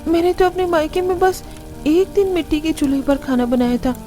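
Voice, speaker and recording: A woman acts out a naive young bride in a cartoon family drama. The voice is high and girlish, over clearly audible music. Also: brisk, dark tone. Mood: complaining. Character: young new bride, naive, dismayed by old-fashioned in-laws. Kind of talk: scripted cartoon dialogue.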